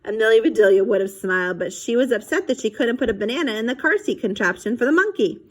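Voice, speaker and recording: A woman's voice: a little high, quick pace. A woman reading a children's book aloud expressively, acting out dialogue and chatting casually to young listeners between passages. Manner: animated, acts out dialogue and sound effects.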